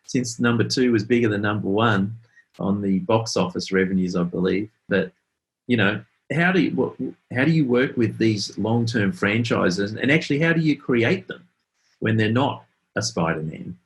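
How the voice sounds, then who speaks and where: A man with a slightly low voice hosts a casual interview. He talks in a chatty way and wanders through his questions as he builds them. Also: animated, moderate pace, poor audio.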